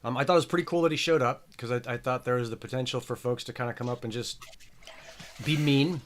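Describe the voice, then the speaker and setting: A man's voice: medium pitch, quick pace. A man hosting a casual podcast chat, rambling and chatty with plenty of filler words. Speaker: show host.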